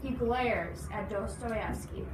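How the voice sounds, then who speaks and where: High-voiced woman reading aloud, echoey room. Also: slow. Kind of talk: expressive read-aloud narration with questions to listeners. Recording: echoey noisy room, distant mic.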